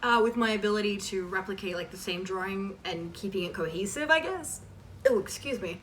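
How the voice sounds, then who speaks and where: A woman's voice: mid-range voice, fairly quick, a bit bright. A woman in her twenties answering questions in a casual, rambling chat, often interrupting herself. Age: 20s. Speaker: online voice performer answering fan questions. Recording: distant mic with clear background noise.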